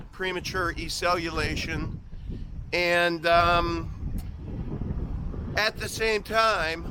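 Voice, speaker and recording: A man's voice: sits high, noisy background. A man in his fifties with a Midwestern accent, joking through a rambling vlog monologue on a walk outdoors, often trailing off and restarting. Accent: Midwestern American. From American Midwest. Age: 50s. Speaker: vlogger.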